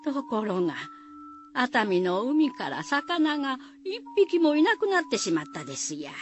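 A woman's voice: sits high, big pitch swings, measured pace, faint music behind. A woman narrating a folk tale for radio in a folksy manner, with rustic dialect. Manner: folksy storytelling. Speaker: storyteller narrator.

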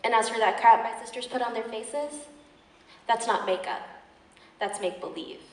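A young woman reciting slam poetry on stage with a fierce, emphatic, rhythmic delivery and dramatic pauses. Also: fairly high pitch, darkish tone. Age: young adult. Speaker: slam poet performer. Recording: echoey room, distant microphone.

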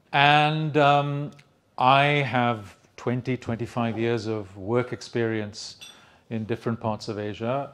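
An older man from Sri Lanka giving an academic lecture in a conference room into a handheld mic, measured, with many fillers and restarts. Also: on the low side. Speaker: economics lecturer. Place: conference room with projector screen.

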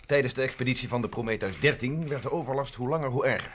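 A man's voice: mid-range voice, quick pace, fairly bright tone, natural voice. A man playing a plain-spoken traveller. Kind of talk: 1960s radio drama acting. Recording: old radio play tape with faint hiss.

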